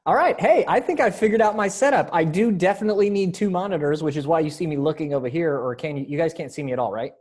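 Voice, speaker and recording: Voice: mid-range voice, fast, hardly any pauses, a little mellow. An upbeat, encouraging person casually coordinating a readers theater event over a video call.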